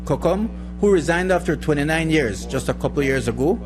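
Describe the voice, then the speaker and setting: A man's voice: a little high, expressive, a little fast. A man reading scripted news narration as a reporter. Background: music bed.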